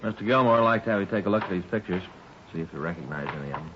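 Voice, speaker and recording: A man playing a brisk, laconic detective partner in a 1950s radio drama, clipped and low-key. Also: expressive. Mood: businesslike. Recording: dull, noisy old radio recording.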